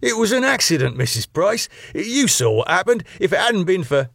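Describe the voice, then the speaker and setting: A man's voice: medium pitch, normal speed, gravelly, husky, dry recording, studio quality. A man voicing a polite, deferential doorman who pleads anxiously with his employers. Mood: imploring. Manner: deferential, imploring.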